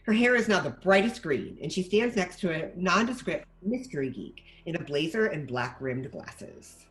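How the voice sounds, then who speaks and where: A man's voice: fairly high pitch, animated, normal speed, fairly bright tone, low-quality recording. A man reading stage directions as scripted narration for a table read.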